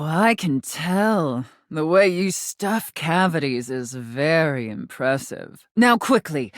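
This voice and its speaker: An actress playing a haughty, sultry, domineering mistress in a video game, commanding and condescending, with drawn-out teasing emphasis. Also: female voice, lowish voice, dry recording, studio quality. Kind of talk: theatrical video game character acting.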